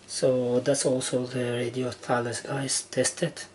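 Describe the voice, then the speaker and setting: A man giving a casual, halting step-by-step demonstration of equipment in short phrases, as a hobbyist. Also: mid-range voice, little pitch movement, darkish tone. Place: indoor room with wooden surface. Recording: noisy handheld camera recording indoors.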